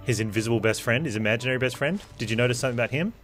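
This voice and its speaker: A man's voice: mid-range voice, very fast, a bit bright, soft background music. A man giving casual, musing commentary as he streams a video game, thinking aloud.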